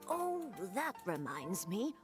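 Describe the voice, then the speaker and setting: A woman's voice: a little high, huge pitch swings, takes it slowly, over loud music, exaggerated voice. A woman voicing a worried, earnest villager in a fantasy game. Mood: eager. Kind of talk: animated fantasy game voice acting.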